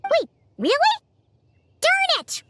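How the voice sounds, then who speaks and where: A woman voicing a small, cheeky, excitable girlish fairy companion in a video game. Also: very high-pitched, slow pace, very noisy, heavily acted. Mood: indignant teasing. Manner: refers to self in third person, exclaiming. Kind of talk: video game character acting.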